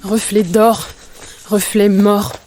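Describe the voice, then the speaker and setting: A woman's voice: medium pitch, flat delivery, takes it slowly, over music, close to an everyday voice. A woman playing an earnest, unsure young athlete in a French audio drama. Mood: poetic, solemn. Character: earnest, unsure young female elite runner.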